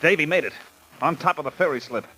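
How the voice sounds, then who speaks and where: Alert, husky police sidekick. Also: male voice, a little high, fast talker, pushed and bright, rough recording. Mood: urgent, excited. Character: alert male police sidekick reporting action. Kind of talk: 1940s-50s radio crime drama acting.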